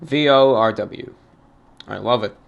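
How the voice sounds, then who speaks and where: A man reads listener mail aloud in a formal, measured voice of ordinary pitch. He stops and restarts now and then, choosing his words with care. It comes across as an old-fashioned radio-style monologue. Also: gravelly, lo-fi sound. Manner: formal, measured, halting delivery. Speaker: host reading fan mail.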